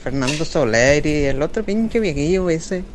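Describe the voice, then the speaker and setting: A man putting on a rough, slangy young street character who rambles crudely in a comic monologue. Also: a little high, animated, normal speed, slight background noise, natural voice. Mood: rambling.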